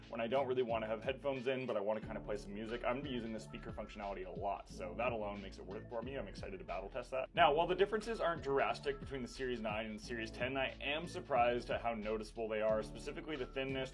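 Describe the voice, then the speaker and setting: A man giving a casual tech review in an informal, conversational, enthusiastic way, as a vlogger would. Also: medium pitch. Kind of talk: casual product review vlog. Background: background music.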